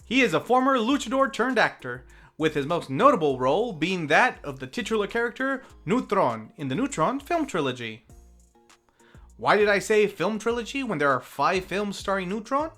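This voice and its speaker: Film review host, scripted narration, highly varied intonation, theatrical, wry asides, faint music behind. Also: big pitch swings, normal speed, a bit bright.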